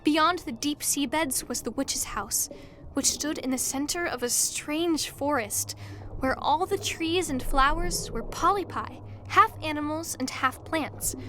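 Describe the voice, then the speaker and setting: A woman's voice: sits high, measured pace, pushed and bright, a little hiss or hum, low-quality recording. A woman narrating a fairy tale as a scripted storyteller in an audio drama. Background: music.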